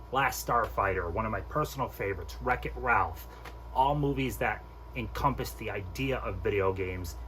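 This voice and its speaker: A man's voice: fairly low pitch, a bit bright, a little hiss or hum, rough recording. A man hosting a casual movie countdown review, speaking informally and with strong opinions, with some mild swearing. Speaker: host of a movie countdown video.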